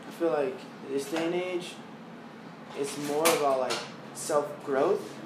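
Rambling young man with a fairly high voice. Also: slow pace. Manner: loose, rambling, full of "like" and swearing. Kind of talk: casual chat. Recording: roomy, distant mic with background noise.

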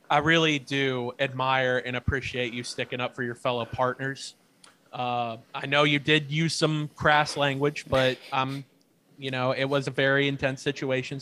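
A young man with a bright, crisp voice tells a story in a loose, casual chat. He swears freely, laughs often and keeps tripping over his words. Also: mid-range voice. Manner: profane, loose storytelling with many fillers and restarts. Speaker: podcast co-host.

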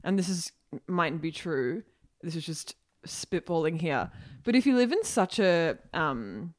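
A woman's voice: on the low side, big pitch swings, unhurried. A woman from Ireland with an Australian accent, a guest journalist talking in a rambling, chatty way full of fillers. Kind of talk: casual podcast chat.

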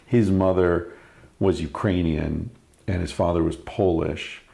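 Man, podcast host, very low voice, croaky fry, joking and profane. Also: fairly even intonation, measured pace, warm tone. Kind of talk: casual chat.

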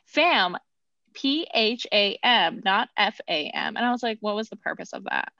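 A woman's voice: medium pitch, lively intonation, moderate pace, vocal fry, bright, forceful tone, dry recording, dull audio. A woman co-hosting a podcast, chatting casually about a movie in a rambling way with lots of fillers. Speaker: podcast co-host. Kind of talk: casual movie review chat.

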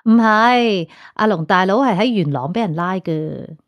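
A woman's voice: mid-range voice, animated, measured pace, dry recording. A woman playing a chatty, deferential female office assistant in a radio drama, with a Hong Kong Cantonese accent.

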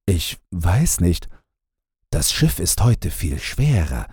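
A man's voice: low-pitched, big pitch swings, slow, darkish tone, husky, dry recording, studio quality, full character voice. A man voicing a puzzled, straining young prince in a fairy-tale audiobook.

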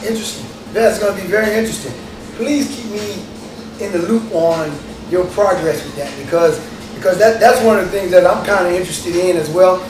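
A man's voice: high voice, a little fast. A man co-hosting a podcast panel, speaking in an easygoing, friendly, slangy way. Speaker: podcast co-host. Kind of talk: casual panel discussion. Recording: echoey room, noisy, mic at a distance.

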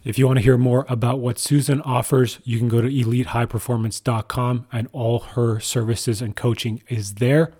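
Mellow-voiced man hosting a podcast. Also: medium pitch, flat delivery, normal speed, few pauses, husky. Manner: enthusiastic, repetitive emphasis. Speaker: podcast co-host. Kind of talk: scripted podcast intro and casual chat.

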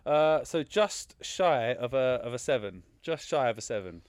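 A man hosting a casual film review podcast, teasing his co-hosts and talking over them in an animated way. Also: medium pitch, normal speed, dry recording. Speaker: podcast host.

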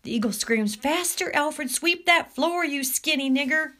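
A woman's voice: on the low side, expressive, slow. A woman voicing a goading, scornful teenage boy, a bully's sidekick in a storybook reading. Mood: scornful mimicry.

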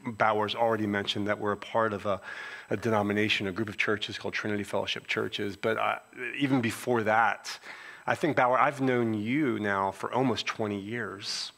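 Bright-voiced Philadelphia pastor preaching. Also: male voice, fairly low pitch, steady tone, normal speed, creaky. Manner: warm, conversational preaching with frequent restarts. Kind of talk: sermon introduction. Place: church sanctuary.

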